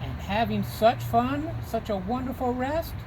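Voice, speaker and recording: A man's voice: very high-pitched, measured pace, background noise. A man from a school giving a children's storybook read-aloud to students. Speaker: school staff reading to students.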